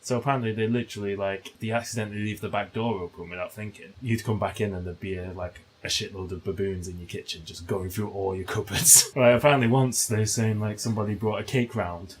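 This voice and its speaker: A man's voice: fairly low pitch, fairly even intonation, quick pace, hardly any pauses, low-quality recording. A British man co-hosting a comedy podcast, telling rambling, sweary anecdotes in a casual way. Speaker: podcast co-host.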